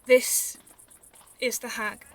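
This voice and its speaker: Woman acting a slow, bright-voiced mercurial Shakespearean dreamer. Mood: dark, brooding. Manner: theatrical, drawn-out, relishing the verse. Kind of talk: Shakespearean verse audio drama. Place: home recording. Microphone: phone.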